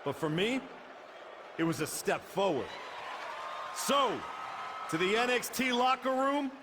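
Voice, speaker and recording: A man's voice: very high voice, big pitch swings, unhurried, full character voice. A male actor playing a proud, determined comeback pro wrestler in a video game cutscene, earnest and emphatic. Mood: proud, resolute. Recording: noisy arena with crowd behind.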